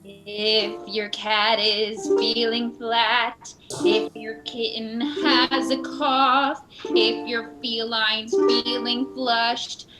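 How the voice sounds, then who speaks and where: A woman's voice: on the high side, flat delivery, extremely slow, low-quality recording. A woman playing a chirpy, playful announcer in a parody radio commercial, singsong and rhyming. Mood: perky. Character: chirpy singsong jingle announcer for a pet clinic. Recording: loud music under the voice.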